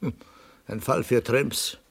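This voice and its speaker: Gravelly, grumpy police inspector. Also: male voice, medium pitch, fairly even intonation, slow, a bit bright, heavily acted. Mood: grumbling, sour. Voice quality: gravelly, husky. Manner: grumbling, curt. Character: grumpy, world-weary police inspector sent to the provinces. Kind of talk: 1960s German radio play acting.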